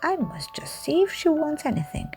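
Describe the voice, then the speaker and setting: A woman's voice: high-pitched, huge pitch swings, moderate pace, darkish tone, voice pushed up, full character voice. A woman voicing a resolute, stern huntsman who muses to himself. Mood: curious. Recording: music under the voice.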